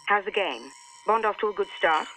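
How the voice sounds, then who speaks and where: A woman's voice: medium pitch, fairly quick, background noise, close to an everyday voice. A woman as a stern, commanding spy chief. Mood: urgent, commanding. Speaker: intelligence chief. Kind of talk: video game dramatic acting. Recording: music under the voice.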